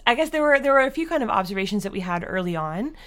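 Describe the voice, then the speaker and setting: A woman's voice: medium pitch, animated, racing, fairly bright tone. A woman answering as an interview guest in a conversational way, with hesitant, filler-heavy phrasing. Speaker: interview guest, audio drama creator.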